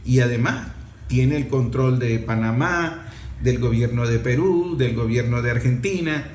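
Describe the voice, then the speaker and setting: A man's voice: medium pitch, a little slow, a little mellow. A man giving unscripted political commentary in an emphatic, opinionated and explanatory manner. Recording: echoey room, faint background noise.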